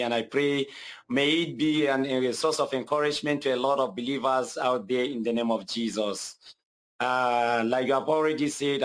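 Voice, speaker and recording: A young man from Nigeria with a Nigerian accent, earnestly giving his testimony as an interview guest, repeating phrases and pausing often. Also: medium pitch.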